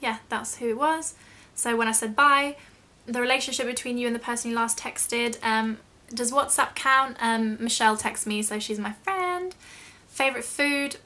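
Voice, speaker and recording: A woman's voice: a little high, normal speed, few pauses, pushed and bright. A young British woman chatting casually in a question-and-answer vlog, rambling a little and self-deprecating. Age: young adult. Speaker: YouTube vlogger.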